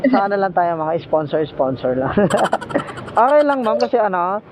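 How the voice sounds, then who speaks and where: A man's voice: high-pitched, sing-song, moderate pace. A Filipino man chatting casually as a motorcycle taxi rider, playful and teasing with his passenger, talking through a helmet intercom outdoors. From Philippines. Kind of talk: casual bantering chat with a passenger. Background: vehicle and street noise.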